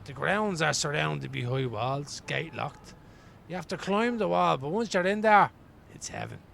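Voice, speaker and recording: An actor in a radio play playing a weary, wry homeless man from Dublin, rambling colloquially in a gravelly voice. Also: a little high, animated, a little slow, a bit bright, a little hiss or hum, heavily acted. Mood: wry, candid. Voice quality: gravelly, creaky. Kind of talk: radio play acting as an interviewee.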